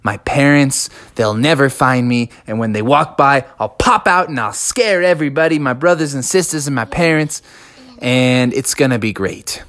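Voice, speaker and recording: A silly, scheming young bear cub in a storybook, voiced by a man. He chuckles to himself and plots in a close, dry voice, slow and relaxed. The voice stays near the man's own, only lightly played. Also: lowish voice, dry recording, pitched below the performer's own voice.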